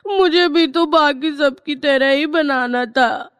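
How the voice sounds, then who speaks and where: Little cartoon schoolgirl, played by a woman: earnest, plaintive, high-pitched, taking her time over words. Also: slow, fairly bright tone, no room sound, studio quality. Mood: tearful. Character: tearful little bullied schoolgirl, earnest, naive. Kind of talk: Hindi cartoon story acting.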